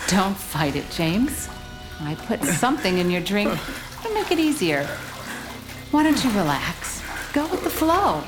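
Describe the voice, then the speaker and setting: A woman's voice: mid-range voice, big pitch swings, unhurried. An actress playing a sinister, coaxing mother in an audio horror drama, with a composed manner. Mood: calm menace. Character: sinister, coaxing mother calmly drowning her husband. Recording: music and bathwater behind.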